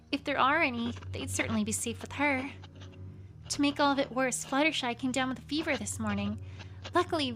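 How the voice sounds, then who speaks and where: A woman's voice: high-pitched, a bit bright, rough recording. A woman voicing a fretful young unicorn scholar pony. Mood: tired, uneasy. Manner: earnest, fretful first-person diary reading. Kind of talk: journal read aloud as a fan audiobook. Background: music.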